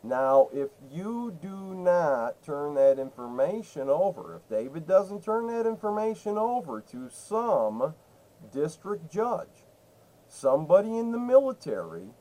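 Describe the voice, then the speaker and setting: A man's voice: fairly high pitch, big pitch swings, unhurried, mellow, low-quality recording. A man making an insistent phone complaint, laying out accusations in an emphatic, repetitive way and cutting in. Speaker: caller to a state senator's office.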